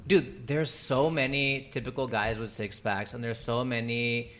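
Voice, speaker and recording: A man hosting a podcast interview, talking in an animated, casual way with a foreign accent and the odd swear word. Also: mid-range voice, unhurried. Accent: non-Indian foreign accent. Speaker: podcast host.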